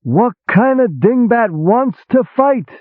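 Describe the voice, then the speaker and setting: A man's voice: very high voice, slow pace, no room sound, voice pushed up, full character voice. A man voicing a brash, sarcastic army boss who talks like a streetwise gangster. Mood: outraged. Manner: sarcastic, excitable, shouting, streetwise gangster talk. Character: loud brash middle-aged male army boss. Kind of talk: audiobook character voice. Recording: tinny phone-like sound.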